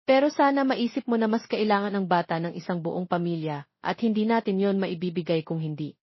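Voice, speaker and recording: A woman's voice: medium pitch, brisk, breathy edge, dry recording, lo-fi sound, close to an everyday voice. A woman voicing an apologetic, earnest young bachelor who pleads and explains, in a romance audio story.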